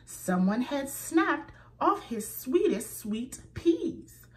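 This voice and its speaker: A woman reading a children's picture book aloud, slow and expressive, pointing out letter sounds for young listeners. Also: high-pitched. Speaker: children's story reader.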